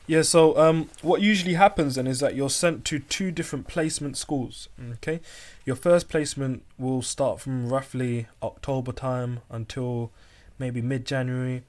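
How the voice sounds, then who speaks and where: A man co-hosting a casual chat, speaking in a calm, easygoing way with frequent ums. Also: medium pitch, normal speed, few pauses. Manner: calm, relaxed, hesitant with fillers. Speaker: podcast co-host.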